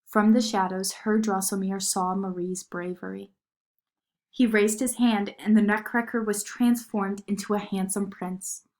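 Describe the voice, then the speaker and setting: A woman narrating a children's story in an expressive read-aloud style. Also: medium pitch, measured pace.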